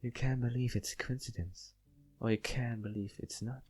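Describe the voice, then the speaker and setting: A man's voice: medium pitch, steady tone, normal speed, a little mellow, breathy edge, voice pushed up, natural voice. A man voicing a sweet, coy, obsessive young woman from a visual novel, in a lilting, teasing way. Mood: coy, menacing. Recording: faint background music.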